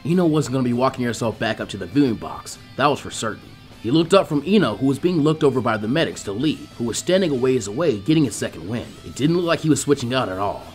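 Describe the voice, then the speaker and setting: A man reading fan fiction aloud as an expressive scripted narration. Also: mid-range voice, quick pace, few pauses, soft background music. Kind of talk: expressive scripted story narration with character voices.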